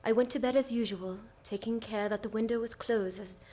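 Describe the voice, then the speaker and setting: Woman acting a high-voiced, frail young gentlewoman. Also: steady tone, brisk, close to an everyday voice. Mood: fearful, dreamy. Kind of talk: old-time radio drama acting.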